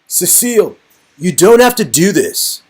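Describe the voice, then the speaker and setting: A man's voice: sits high, sing-song, measured pace, slightly rough, pitched above the performer's own voice, full character voice. A man playing an earnest, talkative hero in a game read-along, pleading and flippantly insistent. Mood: pleading, urgent.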